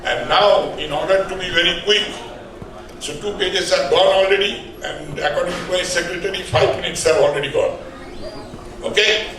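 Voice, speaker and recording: A man's voice: sits high, normal speed, fairly bright tone. An older man with an Indian accent giving a public speech at an event, speaking emphatically with off-the-cuff asides and repeated phrases. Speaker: publisher and book editor giving a launch speech. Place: indoor event hall at a hotel. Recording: echoey hall, far from mic, faint noise.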